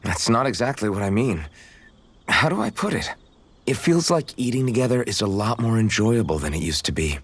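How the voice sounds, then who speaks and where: A man playing an earnest young swordsman in a video game, gentle in manner and awkward when flustered. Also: fairly low pitch, big pitch swings, fairly quick, breathy edge, heavily acted. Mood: warm, sincere. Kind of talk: video game cutscene acting.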